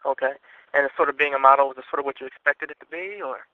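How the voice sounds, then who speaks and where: A man's voice: medium pitch, rapid-fire, dry recording. A man conducting a casual interview over the phone, informal and laid-back in his wording. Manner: laid-back, informal, frequent short acknowledgements. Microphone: telephone. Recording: muffled narrow phone line.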